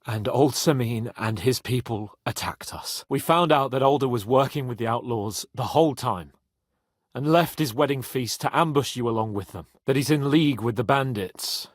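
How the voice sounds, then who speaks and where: An actor playing a proud, hot-headed young nobleman in a video game, with a theatrical, sharp-tongued manner. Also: medium pitch, normal speed, no echo, clean studio sound, close to an everyday voice. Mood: earnest, explaining. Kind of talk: dramatic video game voice acting.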